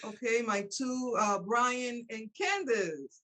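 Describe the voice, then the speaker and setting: A woman's voice: fairly high pitch, takes it slowly. A middle-aged woman whose first language is Spanish, speaking English with a Spanish accent as an interview host in a chatty, affirming way. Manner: chatty, warm-hearted affirmations, frequent laughter. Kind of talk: casual interview chat.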